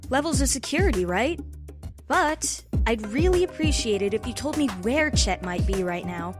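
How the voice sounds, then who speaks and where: A woman's voice: on the high side, lively intonation, moderate pace, music in the background, close to an everyday voice. A woman playing a young intern bluffing her way along, casual and persuasive, in a comic-book audio drama. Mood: confident bluffing. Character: young female intern, breezy undercover bluffer.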